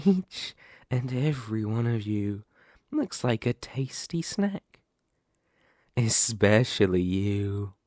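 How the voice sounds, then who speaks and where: Man playing a slow, sadistic snake monster. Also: fairly low pitch, dark tone, no echo, studio quality. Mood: mocking, hungry. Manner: taunting, menacing, slow deliberate delivery with gloating lilts. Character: sadistic, predatory male lamia, half-snake monster toying with prey. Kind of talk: ASMR horror roleplay acting.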